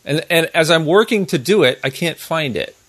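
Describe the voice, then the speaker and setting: A man hosting a casual interview on a video call, thinking aloud in a halting, conversational way. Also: on the high side, expressive, fairly bright tone. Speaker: interview host.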